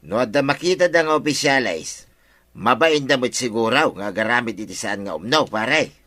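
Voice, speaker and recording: Bright-voiced, frank Ilocano buddy. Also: male voice, medium pitch, expressive, moderate pace, no room sound. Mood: casual, frank. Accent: Filipino, Ilocano. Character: village captain's frank, chiding male buddy. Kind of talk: comedic radio drama acting.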